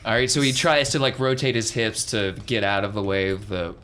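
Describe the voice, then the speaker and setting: A man's voice: on the low side, a little fast, pushed and bright, a little hiss or hum. A man working as game master, improvising vivid, casual narration for a tabletop game. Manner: vivid, gory description in casual slang.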